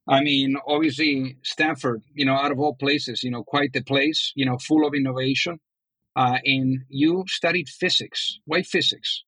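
A man's voice: medium pitch, fairly even intonation, normal speed, pushed and bright, dry recording, poor audio. A man hosting a podcast interview, chatty and full of fillers. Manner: chatty, many fillers like "you know". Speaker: podcast host. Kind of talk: casual interview.

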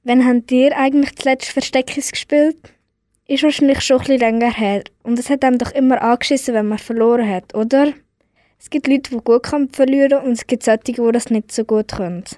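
A woman's voice: high voice, fairly even intonation, fairly quick, mellow, no echo, studio quality. A female presenter with a Swiss accent, giving a scripted but chatty radio introduction.

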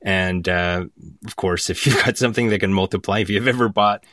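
A man's voice: sits low, fairly quick, a bit bright, no echo, clean studio sound. A man from Alberta with a Canadian accent, explaining conversationally as a guest writer in an interview. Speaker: guest writer of audio drama.

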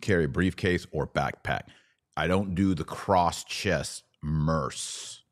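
A man's voice: rumbling low, takes it slowly, no room sound, clean studio sound. A middle-aged American man hosting a podcast, giving opinionated religious commentary in an informal, slangy, mocking and incredulous way.

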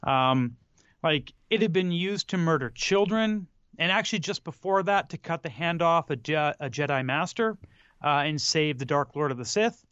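A man chatting casually as a podcast co-host, halting and searching for words, with dry tongue-in-cheek humor. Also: fairly high pitch, lively intonation, fairly bright tone, smooth, no room sound, highs cut off. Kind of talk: casual film discussion.

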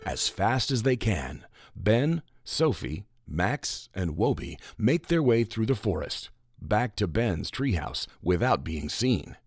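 A man's voice: fairly low pitch, sing-song, slow, slightly rough, no echo, soft background music. A man narrating a children's story as a storyteller addressing young listeners.

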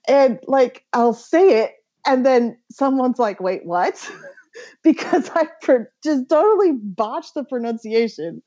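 Woman, author guest, casual and animated, mellow tone, slightly dull recording. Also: on the high side. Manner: giggly, animated storytelling with frequent "like". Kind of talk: casual podcast chat.

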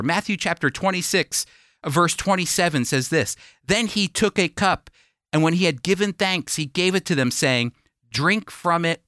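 A man teaching the Bible as a broadcast host, with emphatic, deliberate phrasing that rises and falls, close on a studio microphone. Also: fairly high pitch, pushed and bright, dry recording. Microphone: close studio microphone, dry.

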